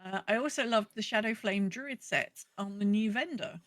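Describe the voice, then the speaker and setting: Female podcast host, middle pitch, informal and conversational, muttering little asides between read-out messages. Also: normal speed, a bit bright. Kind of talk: casual chat and reading out listener messages.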